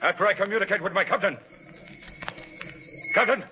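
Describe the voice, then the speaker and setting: An actor playing a ruthless, commanding villain in a 1930s radio drama. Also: male voice, high voice, a little fast, close to an everyday voice. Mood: menacing, commanding. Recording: muffled old 1930s radio recording.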